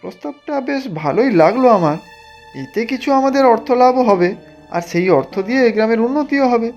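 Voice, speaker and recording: A man's voice: very high-pitched, animated, brisk, a little mellow, lo-fi sound, faint music behind. A man voicing an ambitious, greedy village official in a cartoon, talking in a persuasive, insistent way. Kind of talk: Bengali cartoon dialogue.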